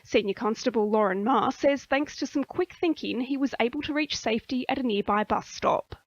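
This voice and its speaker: Higher-pitched Australian woman reading radio news. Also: fairly quick, no room sound, dull audio. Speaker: news reader. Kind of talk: scripted radio news bulletin.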